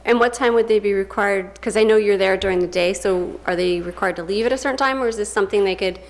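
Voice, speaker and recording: A woman's voice: medium pitch, quick pace. A woman council member asking informal questions at a public meeting.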